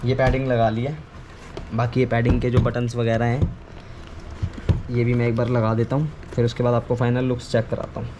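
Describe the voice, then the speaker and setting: Casting: male how-to vlogger, average pitch, informal and explanatory, with some background noise. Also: level tone, brisk, long pauses, lo-fi sound. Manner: informal, explanatory, repeats himself. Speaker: video creator giving a how-to. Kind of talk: casual hands-on tutorial chat. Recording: clearly noisy ambient background.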